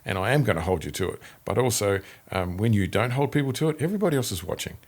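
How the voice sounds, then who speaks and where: A man's voice: lowish voice, lively intonation, quick pace. A man, guest in an interview, talking about leadership with frequent restarts and repeated words. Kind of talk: conversational interview.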